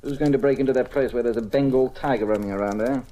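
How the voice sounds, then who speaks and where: An actress playing a cool, scheming crime boss in an old radio mystery, with a suave, condescending delivery. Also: female voice, rumbling low, quick pace, dark tone. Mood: smug, briskly instructing. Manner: suave, articulate, condescending, menacing. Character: cool, scheming, commanding criminal ringleader plotting a theft. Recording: noisy old radio recording.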